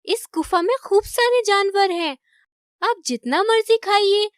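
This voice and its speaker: Very high-pitched clever little rabbit. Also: female voice, measured pace, no echo, clean studio sound. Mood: falsely polite. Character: small clever rabbit, pleading yet plucky, polite. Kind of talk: animated moral-story cartoon voice acting.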